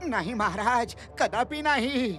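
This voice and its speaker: A man's voice: extremely high pitch, a little slow, sharp tone, soft background music, exaggerated voice. A man voicing an anxious, sensible cartoon sidekick, fretful and placating. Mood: worried. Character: anxious, sensible male sidekick humoring a mock king. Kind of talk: animated cartoon dub acting.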